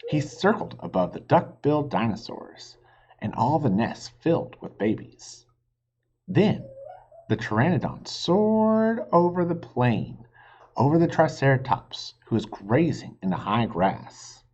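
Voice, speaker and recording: A man reads a children's chapter book aloud at a slow, unhurried pace, his pitch rising and falling expressively. His voice is a little husky and he stops now and then to ask his young listeners questions. The recording sounds dull and lo-fi. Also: darkish tone. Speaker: teacher reading aloud.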